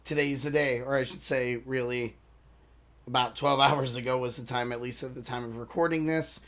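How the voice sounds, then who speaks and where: A man hosting a reaction channel, talking casually to his viewers with a hoarse voice, rambling and apologizing as he loses his train of thought. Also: medium pitch, steady tone, normal speed, fairly bright tone. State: hoarse from a lost voice.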